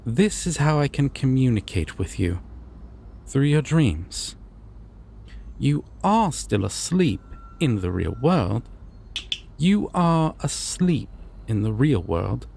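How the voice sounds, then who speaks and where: Ancient male deity of dreams for audio roleplay, played by a man: composed, formal, gravelly, with expressive swings in pitch. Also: a little hiss or hum, exaggerated voice. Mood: composed, reassuring. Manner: formal, theatrical, unhurried with deliberate pauses. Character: ancient male god of dreams, courteous, composed, world-weary. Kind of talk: scripted audio roleplay addressed to the listener.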